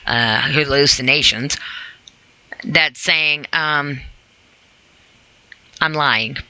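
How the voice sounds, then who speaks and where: A podcast host with a very bright, sharp-edged voice at a medium pitch. The delivery is blunt and informal, moving between reading out a self-help list and commenting on it, with repeated phrases and direct questions to the listener. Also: drawn-out words, smooth, dry recording, poor audio. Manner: emphatic repetition of phrases.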